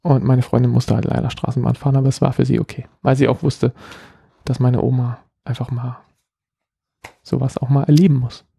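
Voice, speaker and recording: A middle-aged man with a light Berlin accent and a dark, gravelly voice. He co-hosts a casual podcast, rambling and mocking himself as he tells stories. The sound is dry and close. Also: mid-range voice, flat delivery, fairly quick, no room sound. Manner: rambling, self-mocking storytelling. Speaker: podcast co-host.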